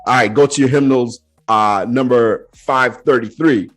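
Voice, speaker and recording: A Nigerian man hosting a podcast, telling a story in a relaxed, animated way and acting out the voices he quotes. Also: mid-range voice, unhurried, faint music behind. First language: Yoruba. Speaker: podcast host. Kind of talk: casual storytelling chat.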